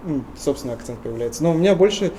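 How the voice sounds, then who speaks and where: A young man from Tuva in Russia, answering interview questions earnestly and often pausing with hesitation sounds. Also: a little high, lively intonation, dark tone, a little hiss or hum, poor audio. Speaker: interview guest.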